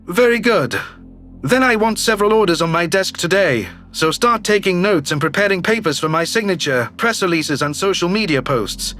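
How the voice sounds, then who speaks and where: Man playing a high-voiced, commanding alien governor. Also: animated, fairly bright tone, faint music behind. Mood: brisk, commanding. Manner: brisk, authoritative. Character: shrewd, businesslike male alien governor, curt and commanding.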